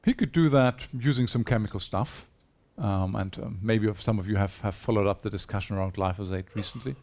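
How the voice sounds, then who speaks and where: A man's voice: lowish voice, a little fast, darkish tone. A man giving a conference presentation in a hall, earnest and persuasive, putting rhetorical questions to his audience. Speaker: conference speaker.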